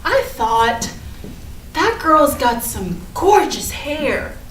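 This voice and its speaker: Popular high school girl, played by a woman, breezy and self-excusing, high swooping voice, stage reading in an echoey room. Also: sing-song, extremely slow, fairly bright tone, full character voice. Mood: confiding, self-excusing. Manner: chatty, hedging, casual. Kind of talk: staged reading of a play, naturalistic dialogue. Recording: echoey room from far off, noisy.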